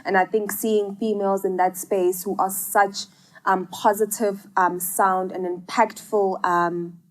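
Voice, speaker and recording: A young woman co-hosting a casual podcast, talking with a cold, chatty and filling pauses with lots of ums and likes. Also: mid-range voice, flat delivery, poor audio. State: has a cold. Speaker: co-host.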